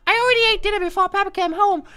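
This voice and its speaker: A woman voicing an excitable, bored anime schoolgirl with a squeaky, put-on American accent in a visual novel. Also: very fast. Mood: bored, sulky. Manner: exclamatory, exaggerated.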